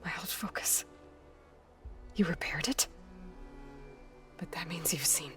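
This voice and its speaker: An actress playing a wary, defiant young warrior heroine, blunt and skeptical, in a cinematic video game. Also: female voice, lowish voice, slow pace, whispered, music in the background. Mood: guarded. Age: young adult.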